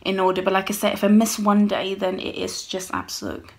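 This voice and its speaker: A woman's voice: mid-range voice, little pitch movement, fairly quick, creaky. A woman in her thirties chatting casually to her phone camera at home while tidying, rambling with friendly asides to viewers. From United Kingdom. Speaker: home cleaning vlogger. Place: small home, bedroom and hallway. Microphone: handheld phone camera at arm's length.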